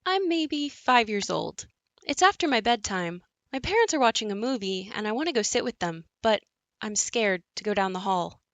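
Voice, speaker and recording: A woman playing an adult narrator who looks back on her haunted childhood home in a scripted audio play, reflective and measured. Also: on the high side, expressive, fairly bright tone, no room sound. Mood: reflective, confiding.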